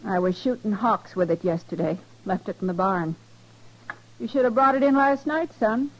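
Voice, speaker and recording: Guarded, terse actress as frontier widow. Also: female voice, mid-range voice, moderate pace, slight background noise, close to an everyday voice, rough recording. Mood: protective. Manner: terse, flat, rustic grammar. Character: guarded, bitter frontier widow and protective mother. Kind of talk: 1950s radio western acting.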